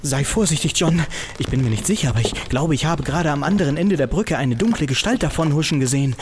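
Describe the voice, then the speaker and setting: A woman as excitable female adventurer, teasing, melodramatic, comic audio drama, highly animated pitch, noisy background. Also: fast. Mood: anxious.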